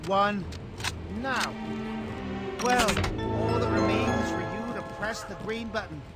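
An actor playing a cocky, jittery police officer in a video game, excitable and emphatic. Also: male voice, sits high, slow. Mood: eager, nervous. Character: cocky, jittery male cop, boastful but fearful. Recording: loud music behind the voice.

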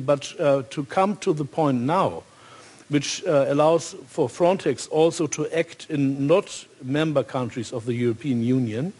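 A man with a German accent speaking off the cuff on a panel, in halting phrases with frequent pauses. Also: fairly high pitch, a little mellow. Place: large hall stage at a symposium.

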